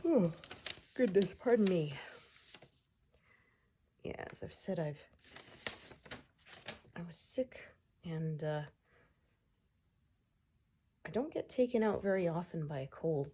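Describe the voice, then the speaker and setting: A middle-aged woman chatting casually as she works on a craft, relaxed and meandering with plenty of fillers, her voice a little congested from a cold. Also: deep voice, slight background noise. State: recovering from a cold, slightly congested. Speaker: cross-stitch vlogger. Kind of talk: casual chat while stitching.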